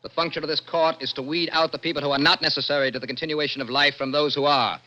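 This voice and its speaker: An actor with an American accent playing a cold, formal young lieutenant judge of a dystopian court, in 1960s radio drama style. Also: male voice, a little high, steady tone, fast talker, bright, forceful tone. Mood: cold, unbending. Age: young adult. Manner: cold, formal, clipped pronouncements. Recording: dull old-time radio recording.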